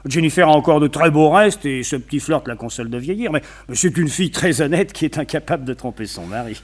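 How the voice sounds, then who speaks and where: An actor playing a well-connected, opinionated society gentleman in a French radio detective play, talkative, confiding and wryly gossipy. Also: on the high side, animated, fast talker.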